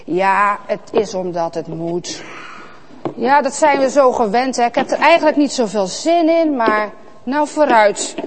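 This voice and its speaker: A woman's voice: fairly high pitch, lively intonation, a little slow, a little hiss or hum. A woman voicing a reluctant young farmer who grumbles about his duties. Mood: grudging. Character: sulky, grudging young farmer man, later jealous.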